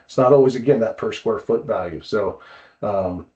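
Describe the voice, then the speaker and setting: A man's voice: fairly low pitch, normal speed, a little mellow. A man hosting a casual explanatory talk, conversational and often checking in with rhetorical questions. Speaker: host presenting tips. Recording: dull, band-limited audio.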